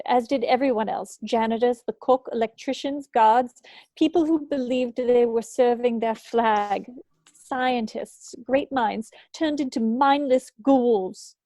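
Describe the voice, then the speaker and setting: A woman at a script table read playing a bitter, grieving woman scientist, speaking gravely and deliberately. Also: a little high, dark tone, no echo, lightly acted.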